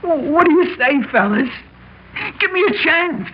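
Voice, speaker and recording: A man's voice: extremely high pitch, animated, a little slow. An actor playing a frightened, pleading teenage boy among crooks in a 1960s radio drama, stammering and emotional. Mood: desperate, remorseful. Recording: muffled, hissy old radio recording.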